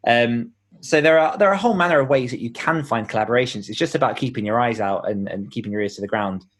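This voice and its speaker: A man's voice: mid-range voice, fast, fairly bright tone. A British man talking in an informal, explanatory way as the guest in a conversational podcast interview.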